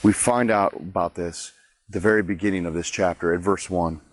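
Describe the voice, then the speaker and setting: A man's voice: low-pitched, fairly even intonation, a little fast, no room sound. A man, a pastor, giving a conversational daily devotional, explaining a Bible chapter with frequent restarts.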